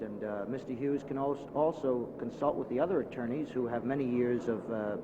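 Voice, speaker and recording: Formal American man, muffled noisy newsreel. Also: medium pitch. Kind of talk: answering press questions. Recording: noisy lo-fi archival newsreel sound.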